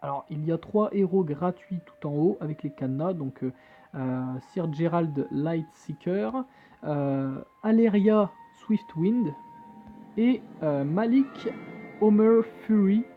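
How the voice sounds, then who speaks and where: A man's voice: a little high, expressive, slow pace. A man gives casual commentary as he plays a game on his phone, searching for his words with many fillers and tossing in jokey asides. His voice comes through thin and tinny, like a phone line. Faint game music plays underneath. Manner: reads on-screen game text aloud. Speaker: gamer playing on a phone.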